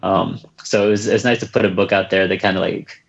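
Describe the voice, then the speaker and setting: A reserved, soft-spoken man from the United States, answering questions about his books in a casual interview with many fillers and restarts. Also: deep voice, steady tone, brisk, creaky, lo-fi sound. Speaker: children's book author, guest.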